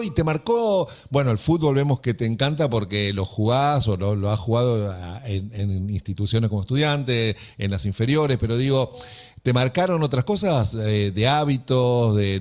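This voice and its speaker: A man with an Argentine Spanish accent asks questions in a loose, chatty way. He drops in quick interjections and builds his questions as he goes. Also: mid-range voice, expressive. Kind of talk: casual interview talk.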